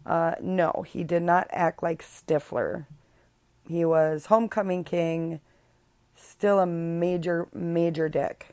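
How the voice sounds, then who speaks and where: Woman podcast host, unhurried, lowish voice, dry close sound, blunt and sarcastic. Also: fairly even intonation, darkish tone, smooth, no room sound. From Illinois. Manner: chatty and blunt, many ums, sarcastic asides. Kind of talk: casual solo podcast chat.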